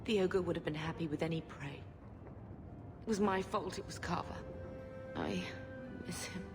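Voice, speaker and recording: An actress as a low-voiced grieving mother. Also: moderate pace, close to an everyday voice. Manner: tender, sorrowful. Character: grieving, wistful middle-aged mother of three. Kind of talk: video game cutscene acting. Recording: music behind the voice.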